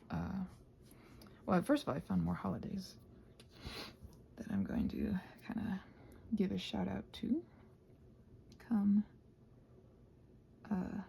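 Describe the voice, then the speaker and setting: A woman rambling casually as a video host, thinking aloud and trailing off, her voice husky and breathy and often sinking toward a whisper. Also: medium pitch, dark tone, a little hiss or hum. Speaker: video host and ASMR creator. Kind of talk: casual rambling craft chat.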